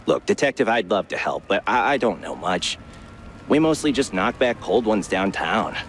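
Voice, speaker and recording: A mid-pitched, casual cartoon mouse stage designer voiced by a man, chummy and a little hesitant. The delivery is lively and excitable, noir game acting over faint background noise. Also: moderate pace, close to an everyday voice. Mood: casual, evasive.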